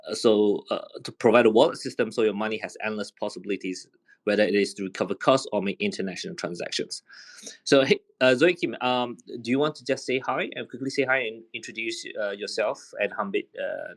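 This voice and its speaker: A man hosting and moderating a casual panel chat. He talks in a relaxed, chatty way with plenty of fillers. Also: on the low side. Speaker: host and moderator.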